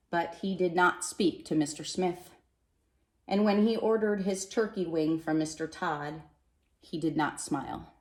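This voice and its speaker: A woman reading a children's storybook aloud with expressive narration, recorded in an echoey room. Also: lowish voice. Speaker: storybook reader.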